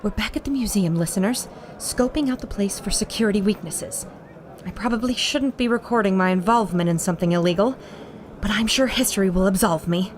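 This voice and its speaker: A woman playing a plucky radio reporter, brisk and decisive, in an old-time adventure serial. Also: mid-range voice, a little hiss or hum, natural voice. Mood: confiding, assured.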